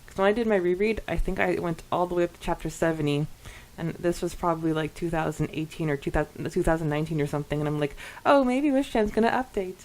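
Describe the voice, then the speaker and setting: A woman co-hosting a podcast in a casual, giggly, expressive way as she reads and reacts to listener comments. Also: on the low side, quick pace, poor audio. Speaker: podcast co-host.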